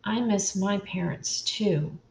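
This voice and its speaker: An older woman reading as a kind, gentle girl. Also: mid-range voice, slow pace, creaky, slight background noise. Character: kind, thoughtful young girl. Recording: roomy echo.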